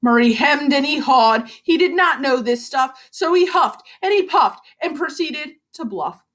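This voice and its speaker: A woman reads a children's story aloud like a school teacher. Her voice is high and sweeps widely in pitch, following the rhymes with an expressive, rhythmic delivery. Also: unhurried. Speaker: elementary school reading teacher.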